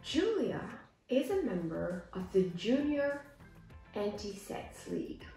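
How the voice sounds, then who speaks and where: A young woman working as an English teacher, reading a simple story aloud and explaining words to learners in a deliberate, explanatory manner. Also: mid-range voice, sing-song, dark tone. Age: young adult. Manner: deliberate, clearly explanatory, gesturing as she teaches. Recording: echoey room, music underneath. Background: background music.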